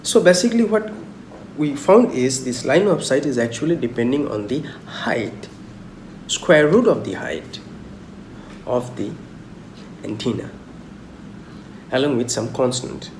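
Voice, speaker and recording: A young man giving an informal technical lecture in a halting, repetitive way, often asking questions and answering them himself. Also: mid-range voice, lively intonation, a little mellow. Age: young adult. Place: small indoor room at home. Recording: roomy echo, clear background noise.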